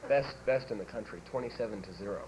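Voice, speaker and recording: A young man plays an admissions officer who delivers absurd answers in a deadpan, matter-of-fact tone. His voice sits on the low side. It comes through a hissy, noisy 1980s school videotape. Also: fast, natural voice. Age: teens. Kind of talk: improvised school TV sketch.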